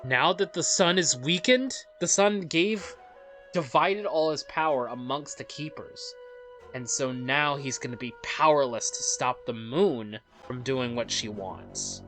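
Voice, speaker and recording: A woman hosting a let's play, reading a game's story aloud in a dramatic storyteller way and adding her own commentary. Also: very deep voice. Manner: dramatic storyteller delivery with interjections. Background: game music.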